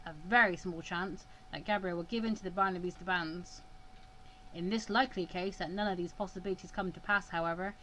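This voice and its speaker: A woman voicing a cold, self-assured male mediator in measured, formal visual novel dialogue. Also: lowish voice, fairly bright tone, a little hiss or hum, natural voice, poor audio.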